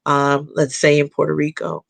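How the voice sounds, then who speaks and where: Gravelly, thoughtful woman guest. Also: sits low, level tone, dry recording. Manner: thoughtful, halting, many fillers and restarts. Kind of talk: casual podcast conversation.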